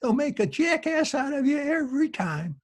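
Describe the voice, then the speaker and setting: A man playing a folksy old joker with a raspy voice, rambling along in an old-time radio comedy. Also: extremely high pitch, big pitch swings, moderate pace. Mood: gleeful reminiscing. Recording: home video-call recording.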